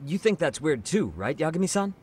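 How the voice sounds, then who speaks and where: A man's voice: fairly high pitch, very animated, brisk, darkish tone, a little hiss or hum. An actor playing an inquisitive, cheeky young man with a casual manner in an English game dub. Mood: curious. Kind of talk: English dub video game drama acting.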